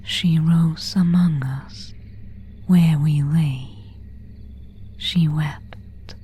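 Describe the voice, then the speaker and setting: A woman voicing an elegant, melancholy vampire in an ASMR roleplay, in a breathy whisper with formal, old-fashioned phrasing. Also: deep voice, steady tone, very slow, mellow, exaggerated voice. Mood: hushed, ominous. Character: pale, elegant, melancholy female vampire of an ancient castle.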